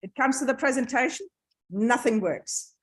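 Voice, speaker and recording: A woman's voice: fairly high pitch, moderate pace. A woman presenting a university science centre, talking casually and laughing as she repeats herself while fighting screen-sharing troubles in a lecture venue. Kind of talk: informal talk with tech troubles. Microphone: room mic, sometimes off mic.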